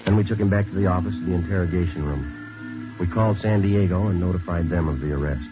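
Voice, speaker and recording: A man acting as a police detective with a low, deadpan voice. He narrates in clipped, businesslike phrases, as in a 1950s radio crime drama. The old recording is muffled, with music behind. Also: fast, close to an everyday voice. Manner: deadpan, matter-of-fact. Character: terse, businesslike male police detective sergeant narrating his case.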